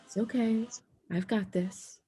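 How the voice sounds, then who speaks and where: Slightly husky teen girl snowboarder played by a woman. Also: mid-range voice, fairly even intonation, measured pace, warm tone. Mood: warm, guarded. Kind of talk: screenplay table read.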